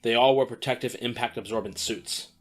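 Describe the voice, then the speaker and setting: A man's voice: mid-range voice, fairly even intonation, a little fast, a bit bright. A man narrating an audio drama in a scripted storytelling style.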